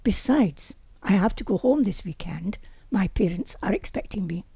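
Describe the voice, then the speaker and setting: A woman's voice: mid-range voice, animated, moderate pace, creaky, dark tone, husky, no echo, highs cut off, full character voice. A woman reading the lines of a wry, stubborn younger heroine in an amateur audiobook. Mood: adamant. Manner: wry, firm. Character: adult woman interior designer, guarded, wry, stubborn. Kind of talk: amateur audiobook character dialogue.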